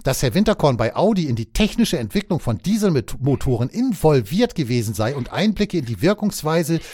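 A man co-hosting a podcast, reading out a news item and then joking around in lively banter. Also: a little high, a little fast, breathy edge, no room sound, clean studio sound. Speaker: podcast co-host.

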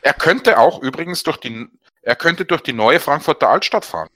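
A man's voice: fairly high pitch, animated, moderate pace, fairly bright tone, no room sound, studio quality. A man co-hosting a casual podcast chat, talking in a chatty, opinionated and wry way. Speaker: podcast co-host.

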